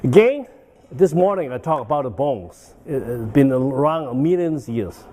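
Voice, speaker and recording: Man in his 60s, medical lecture, rambling, soft mellow tone. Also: medium pitch, lively intonation, moderate pace. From Hong Kong. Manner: rambling, with frequent "uh" and "okay?" tags. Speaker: guest lecturer. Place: banquet hall.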